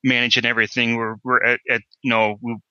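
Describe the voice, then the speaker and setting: A man chatting casually in an interview, rambling and halting, heard over a dry, lo-fi connection. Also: medium pitch, little pitch movement, bright, forceful tone, clean tone, dry recording.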